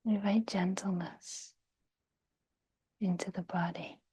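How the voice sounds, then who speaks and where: A woman guiding a meditation in a soft, breathy, near-whispered voice, gentle and soothing, with long pauses. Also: medium pitch, steady tone. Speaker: meditation guide. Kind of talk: guided meditation.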